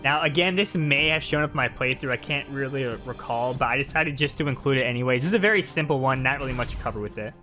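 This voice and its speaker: A man's voice: medium pitch, fast, few pauses, bright, forceful tone, soft background music. A man giving casual, playful live commentary on a video game. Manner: playful, hams up cutscene dialogue.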